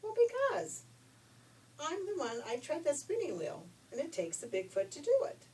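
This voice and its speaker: A woman's voice: high voice, wildly swooping pitch, normal speed, a little mellow, distant mic, voice pushed up. A woman voicing a strange, kindly old spinner auntie from a fairy tale in a folksy, matter-of-fact way.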